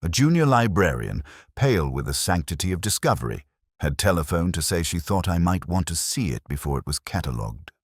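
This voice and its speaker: A man reading a scripted first-person literary detective story in a measured, melancholy, reflective manner. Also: sits low, big pitch swings, breathy edge, dry recording, studio quality.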